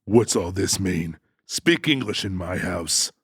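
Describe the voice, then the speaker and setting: A man playing a grim, vengeful, commanding figure with a gravelly, husky voice in an audiobook thriller. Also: deep voice, lively intonation, slow pace, dry recording, studio quality, heavily acted. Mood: grim menace. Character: vengeful, menacing man hiring a torturer. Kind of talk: dramatized audiobook character acting.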